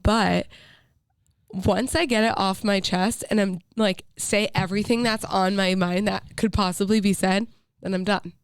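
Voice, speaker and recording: Hoarse young woman with a gravelly voice. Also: medium pitch, fairly even intonation, a little slow, dry recording, studio quality. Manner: candid, jokey, swears casually. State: hoarse from a cold. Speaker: podcast co-host. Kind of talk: casual chat.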